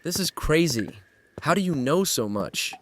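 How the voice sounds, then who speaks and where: A man's voice: fairly high pitch, sing-song, a little slow, a little hiss or hum. An actor playing a bewildered, earnest young man trapped in a nightmare world, speaking and narrating in a horror audio drama. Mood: shocked, uneasy. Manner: uneasy, questioning, earnest.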